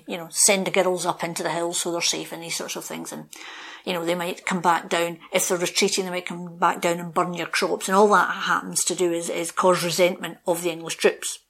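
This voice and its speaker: A middle-aged woman from Scotland, co-hosting a podcast in casual, animated conversation full of ums and asides. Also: fairly low pitch, creaky. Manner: animated storytelling with frequent ums and you-knows. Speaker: podcast co-host.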